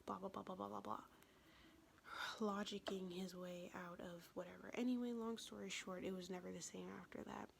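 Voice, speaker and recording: A young woman telling a personal story in a soft, confessional whisper, vlog style. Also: medium pitch, fairly even intonation, moderate pace, vocal fry, slight background noise.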